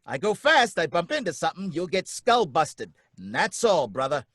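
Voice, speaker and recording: Twitchy cabbie, high and bright, stammering and pleading, old-time radio play. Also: very animated, moderate pace, dry recording, studio quality. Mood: uneasy. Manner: nervous, pleading, stammering, folksy slang. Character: jittery, put-upon male cab driver. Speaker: cab driver.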